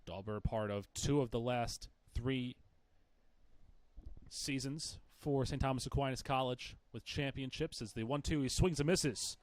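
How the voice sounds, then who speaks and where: A man's voice: medium pitch, measured pace. A man calling a baseball game live as play-by-play commentator.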